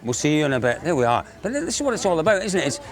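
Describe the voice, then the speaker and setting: A man's voice: on the high side, big pitch swings, very fast, crowd noise. A man from Scotland with a Scottish accent, presenting on location at an outdoor rural market in a jovial, joking, enthusiastic way.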